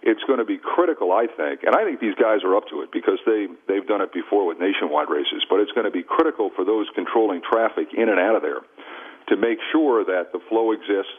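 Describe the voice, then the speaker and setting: A man's voice: deep voice, brisk, breathy edge. An American man giving casual, emphatic sports commentary as a radio guest, repeating himself to make his points, over a phone line. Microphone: phone call. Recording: tinny band-limited phone line on radio.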